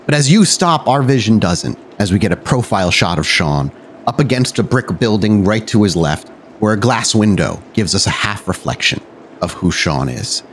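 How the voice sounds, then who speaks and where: A man's voice: lowish voice, very animated, moderate pace, slight background noise. A man working as a game master, narrating a tabletop roleplay podcast with dramatic, cinematic scene-setting.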